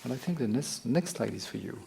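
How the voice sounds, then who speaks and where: A man's voice: medium pitch, lively intonation, brisk, vocal fry, dark tone. A French man with a French accent speaking on a conference stage, often repeating words as he goes. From France. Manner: stammering repeats. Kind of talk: technical conference talk. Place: large conference hall stage.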